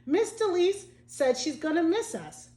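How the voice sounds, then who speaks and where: A woman's voice: very high-pitched, big pitch swings, normal speed, dark tone, pitched above the performer's own voice. A woman voicing eager, earnest young schoolchildren in a storybook read aloud. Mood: eager, caring. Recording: echoey room.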